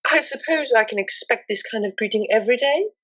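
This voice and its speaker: A woman's voice: a little high, animated, a little fast, fairly bright tone, voice pushed up, low-quality recording. A woman voicing a refined young pony cellist in a story, speaking in a polite, formal and prim manner. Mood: politely wry.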